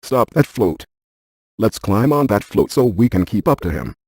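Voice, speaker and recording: A man playing a brainy, stiff ghost-hunting scientist in a video game, speaking in a precise, clinical deadpan. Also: on the low side, normal speed, husky, no echo, studio quality. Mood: urgent, clipped.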